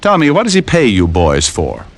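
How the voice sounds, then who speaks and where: A man's voice: lowish voice, moderate pace, full character voice. An American man playing a cocky, wisecracking private detective in 1950s radio style, glib and sarcastic. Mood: mocking, cool. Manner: glib, sarcastic, deadpan quips. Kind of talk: 1950s American radio detective acting with first-person narration. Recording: noisy old-time radio recording.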